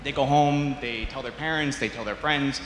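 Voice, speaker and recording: A man's voice: mid-range voice, fairly even intonation, normal speed, a bit bright. A man asking a question from the audience at a conference, earnest and thinking aloud. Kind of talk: question from the floor. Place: large conference hall. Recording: echoey hall with faint noise.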